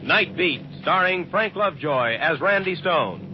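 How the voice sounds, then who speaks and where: A man's voice: on the high side, lively intonation, unhurried. An American man as a dramatic 1950s radio announcer. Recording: dull old radio recording, loud music.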